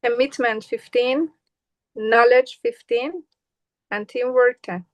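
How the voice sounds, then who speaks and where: A woman on a video call, sharing her answers hesitantly with many pauses. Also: fairly high pitch, dull audio. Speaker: workshop participant.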